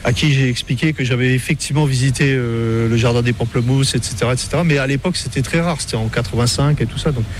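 Parisian man, halting chat outdoors. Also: mid-range voice. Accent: Parisian French. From Paris, France. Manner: hesitant, many fillers and restarts. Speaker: interviewee. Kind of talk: casual interview chat. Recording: clear background noise.

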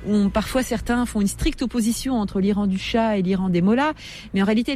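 A woman from France in her forties, a writer giving a reflective personal account, pausing often with hesitant fillers and careful rephrasing. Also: medium pitch, mellow. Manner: hesitant, frequent "euh" pauses, careful rephrasing.

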